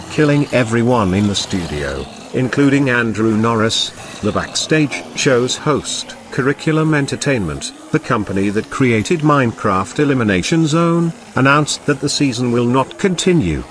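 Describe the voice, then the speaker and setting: A man's voice: medium pitch, noisy background. A man reading a scripted breaking news report as a news anchor.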